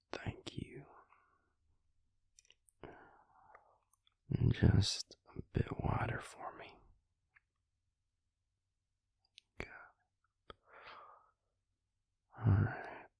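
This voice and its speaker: A man playing a devious vampire posing as a suave dentist in an intimate audio roleplay, talking softly and reassuringly to the listener in a breathy, gravelly half-whisper. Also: fairly low pitch, flat delivery, dry recording, studio quality, heavily acted. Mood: soothing, clinical. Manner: soft-spoken, slow, reassuring bedside patter. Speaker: dentist character. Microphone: close, dry microphone.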